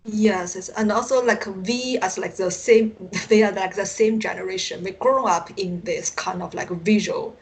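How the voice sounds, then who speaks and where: Woman, Chinese accent, native Mandarin speaker, interview guest, conversational, halting phrasing, feeling for the right word. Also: medium pitch, fairly even intonation, low-quality recording. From China. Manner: halting, searching for words, frequent 'like'. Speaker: interview guest, science fiction writer.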